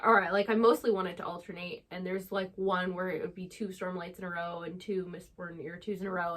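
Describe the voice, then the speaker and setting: A woman co-hosting a book chat, explaining things conversationally with plenty of filler "like". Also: fairly low pitch. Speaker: book channel co-host.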